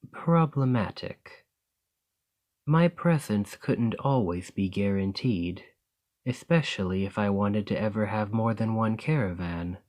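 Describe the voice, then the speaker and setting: A man voicing a calm, gracious male lamia noble merchant in an ASMR roleplay, speaking softly in a measured, formal way. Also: on the low side. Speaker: boss speaking to the listener.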